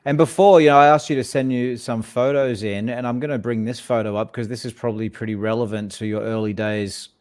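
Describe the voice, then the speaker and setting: A man's voice: medium pitch, fairly even intonation, brisk, hardly any pauses, darkish tone, clear, resonant tone. A man with a mid-range voice co-hosts a casual podcast. He sounds friendly and curious and keeps coming back with short follow-up questions. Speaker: podcast co-host.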